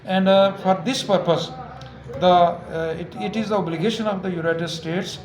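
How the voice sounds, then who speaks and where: An older man, an advocate, answering interview questions haltingly with many fillers and restarts. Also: sits high, fairly even intonation, music in the background. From Azad Kashmir. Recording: echoey with crowd noise.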